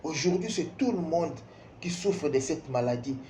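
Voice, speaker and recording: A man's voice: on the high side, expressive, normal speed, mellow. A man in his fifties from Kinshasa with a Congolese accent, speaking emphatically to parents, repeating words and asking rhetorical questions. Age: 50s. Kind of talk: spoken opinion piece addressing parents. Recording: roomy echo, faint background noise.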